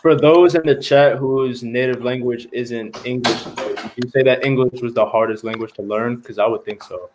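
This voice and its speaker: A man's voice: medium pitch, fairly even intonation, moderate pace, hardly any pauses, background noise. A man living in America who chats casually and keeps asking the others questions. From United States. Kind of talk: casual group chat.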